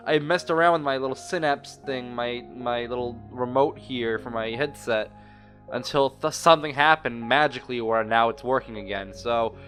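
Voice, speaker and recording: A man's voice: mid-range voice, normal speed, fairly bright tone. Rambling young-sounding male gamer over music. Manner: rambling, often loses his thread and rephrases. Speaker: gaming video host. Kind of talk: casual let's play commentary.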